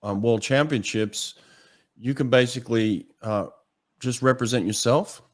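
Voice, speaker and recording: A man from Australia, hosting an interview and asking relaxed, curious questions. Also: medium pitch, a little slow. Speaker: podcast host. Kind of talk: interview conversation.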